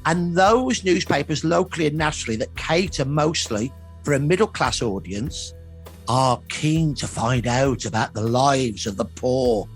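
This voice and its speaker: A man's voice: mid-range voice, measured pace. A man explaining history emphatically as an expert in a documentary interview, repeating phrases for stress. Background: faint background music.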